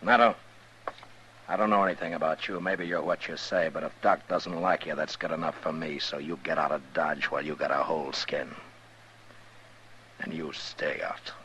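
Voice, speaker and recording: An actor playing a stern, commanding frontier marshal in an old radio western, American, speaking in a firm, terse, authoritative way. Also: male voice, rumbling low, steady tone, moderate pace, pushed and bright, slight background noise. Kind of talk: old-time radio drama acting. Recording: dull old radio recording.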